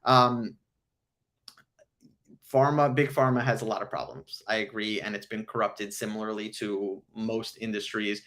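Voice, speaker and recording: A man hosting a casual livestream, answering viewer questions with frequent ums, his voice hoarse from illness. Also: fairly low pitch, a bit bright. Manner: frequent ums, earnest explanatory.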